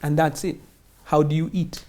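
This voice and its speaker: A woman's voice: low-pitched, level tone, moderate pace, darkish tone. A woman explains things in an interview as a finance instructor, emphatic and teacherly, often posing questions and answering them. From Kenya or wider Africa.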